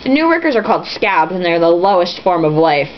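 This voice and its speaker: A woman's voice: fairly low pitch, very animated, a little fast, pitched below the performer's own voice. A woman reading the lines of a stern, indignant father with a firm, didactic delivery. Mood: indignant lecturing. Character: stern socialist immigrant father, indignant and lecturing. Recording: dull lo-fi with faint hiss.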